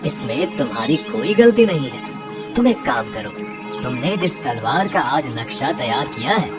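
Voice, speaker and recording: Man voicing a calm, slow-spoken god. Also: mid-range voice, over music. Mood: calm, reassuring. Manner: measured, reassuring. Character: calm, kindly male rain god, reassuring.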